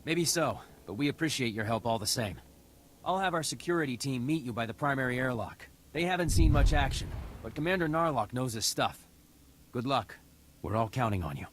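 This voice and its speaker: A man's voice: mid-range voice, lively intonation, fairly quick, slight background noise, lightly acted. An actor playing a worried male starship officer, grave and earnest, in a sci-fi video game. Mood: grateful. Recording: faint music behind the voice.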